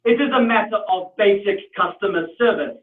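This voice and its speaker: A man's voice: high-pitched, distant mic, pitched above the performer's own voice, lightly acted. A man doing an outraged South African customer. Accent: South African (put on). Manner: loud, slow and scornful, with stern declarations. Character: indignant middle-aged man, proud and outraged. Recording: tinny, echoey room from afar.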